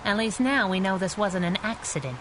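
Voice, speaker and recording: A woman's voice: mid-range voice, animated, brisk, a bit bright, natural voice, poor audio. Plucky female crimefighting sidekick, played by a woman, vintage radio serial; sassy, wry, light background noise. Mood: wry banter. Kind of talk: 1930s-style radio adventure acting.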